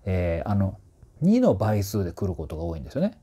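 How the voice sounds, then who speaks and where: Husky, animated man explaining casually. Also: sits low, quick pace. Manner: animated, often sings short phrases to demonstrate. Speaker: voice trainer reviewing singers. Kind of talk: casual explanatory commentary to camera. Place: small indoor room with curtains.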